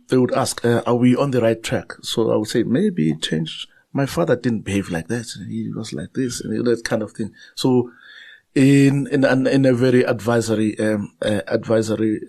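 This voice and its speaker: Husky-voiced man. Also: medium pitch, darkish tone. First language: Zulu. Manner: thoughtful, anecdotal, halting with many restarts. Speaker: guest writer. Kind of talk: casual interview chat.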